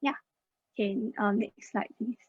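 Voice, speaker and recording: A woman's voice: on the high side, steady tone, unhurried, breathy edge, no room sound. A young woman presents slides about a scholarship in a casual, explanatory way, with plenty of "uh" and "like". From Singapore. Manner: chatty, many fillers like "uh" and "like". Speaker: scholarship student sharing her experience. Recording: dull, dry lo-fi sound.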